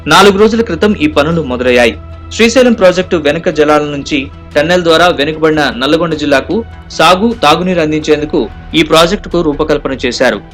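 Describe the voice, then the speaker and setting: A man's voice: on the high side, fairly bright tone. A man reading scripted news narration in a formal, urgent manner. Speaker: news reporter doing voice-over.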